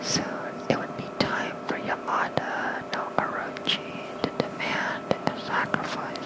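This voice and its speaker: A person reading bedtime lore as ASMR in a soft, breathy whisper, gentle and unhurried, with small murmured asides, close to the mic. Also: rough recording. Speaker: host of an ASMR channel. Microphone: close mic with fluffy cover.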